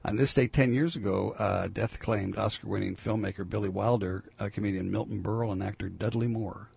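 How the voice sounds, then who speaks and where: A man's voice: on the low side, steady tone, brisk. Growly older man hosting talk radio. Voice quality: growly, gravelly voice. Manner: rambling, many fillers and restarts. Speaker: radio talk show host. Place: radio studio. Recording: dull lo-fi radio broadcast.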